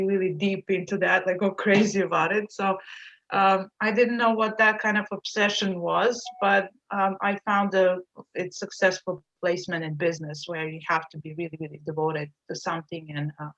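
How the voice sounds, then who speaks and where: A woman's voice: mid-range voice, a bit bright, lo-fi sound. A woman from Serbia, a native Serbian speaker, talking casually as an interview guest, hesitant with many fillers. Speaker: agency owner, guest.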